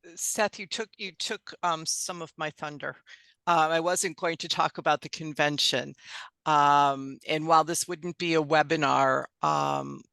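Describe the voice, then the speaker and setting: A woman's voice: low-pitched, fairly even intonation, measured pace, vocal fry, fairly bright tone, no echo. A woman hosting an online board meeting, chatty and affirming as she leads the discussion. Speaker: meeting host. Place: video call.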